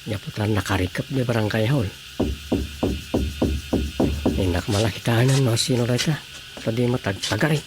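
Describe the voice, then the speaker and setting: A man's voice: mid-range voice, moderate pace, pauses often, loud music behind the voice, lightly acted. A man acting as an easygoing village councilman in a radio drama comedy, muttering with hesitant interjections. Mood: musing. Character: male village councilman, casual, muttering, easygoing local official.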